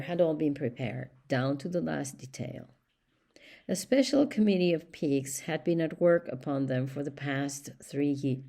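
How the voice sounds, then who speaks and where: A woman reading a storybook aloud as scripted narration, with measured, deliberate phrasing. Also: low voice, dark tone. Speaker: audiobook reader.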